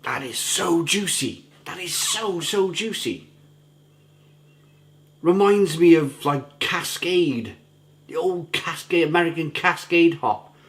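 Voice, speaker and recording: A middle-aged man with a gruff, slightly rough throat talks casually to camera as he reviews a beer. He is enthusiastic and savoring, often repeating words as he describes the taste. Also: a little high, expressive, takes it slowly. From England. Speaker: beer reviewer, channel host.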